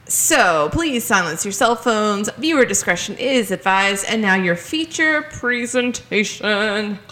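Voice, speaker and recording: A woman's voice: medium pitch, expressive, slow pace, sharp tone. An American woman co-hosting a film podcast in casual chat, jokey and slangy, swearing freely. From United States.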